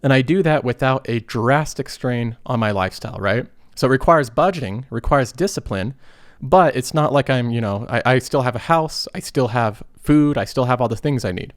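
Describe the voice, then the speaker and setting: A man's voice: mid-range voice, moderate pace, dry recording, studio quality. A thirty-year-old man getting over a cold, explaining personal finance in an earnest, conversational monologue. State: recovering from a cold.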